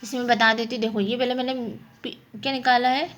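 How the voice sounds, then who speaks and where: A woman's voice: a little high, level tone, fast talker, fairly bright tone. A woman teaching a mathematics lesson, explaining step by step and often answering her own questions. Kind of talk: informal spoken lesson, working through problems.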